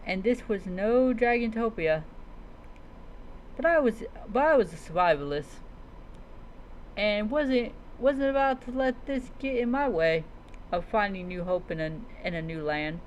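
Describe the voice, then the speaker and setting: A woman's voice: mid-range voice, slight background noise, lightly acted, poor audio. A woman voicing a solemn old male dragon protector who takes human form, telling his tale dramatically in a fantasy roleplay.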